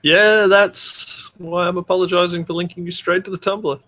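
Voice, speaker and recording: A man's voice: high-pitched, fairly even intonation, moderate pace, pushed and bright, dry recording. A man with a mixed Australian and English accent, chatting casually and irreverently as a podcast co-host, with plenty of swearing. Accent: mix of Australian and English. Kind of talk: casual chat, banter.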